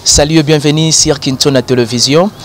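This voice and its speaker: Guy hosting culture news, has a cold and a cough, lots of euh, slightly high voice. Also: steady tone, a little fast, slight background noise. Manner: formal with frequent hesitations, mixes in Lingala. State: sick with flu and a cough. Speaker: web TV presenter.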